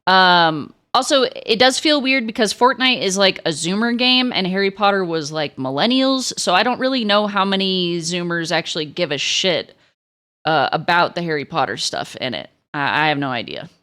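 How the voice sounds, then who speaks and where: A young woman with a crackly, lower voice, chatting frankly with stream viewers and swearing freely. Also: lively intonation, normal speed, a bit bright. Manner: frank, swears a lot, many restarts. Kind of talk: casual chat to stream viewers.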